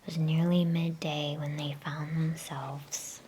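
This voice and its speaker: A woman speaking in a soft, unvoiced whisper, gently and without hurry, as an ASMR bedtime story reader. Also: sits low, level tone, a little slow, slight background noise.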